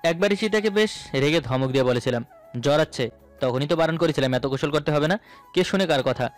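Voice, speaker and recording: High-pitched male story narrator over music. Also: fairly quick. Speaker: narrator of romantic audio stories. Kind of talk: first-person scripted story narration.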